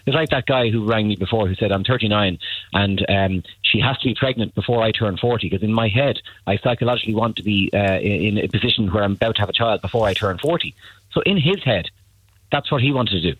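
A man's voice: lowish voice, fast talker, no echo. A man giving blunt, emphatic dating advice on a radio call-in, often repeating himself. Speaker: matchmaking agency guest. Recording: tinny phone line, dry.

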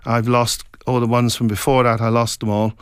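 Middle-aged male author from Scotland, mid-pitched, reflective and rambling interviewee. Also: little pitch movement, clear, resonant tone, dry recording, studio quality. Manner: reflective, meandering, halting with many restarts. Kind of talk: casual interview chat.

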